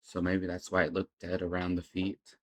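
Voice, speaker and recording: A man with a low voice hosts a reaction video. He talks in a casual, unscripted way, commenting on what he is watching. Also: monotone, moderate pace.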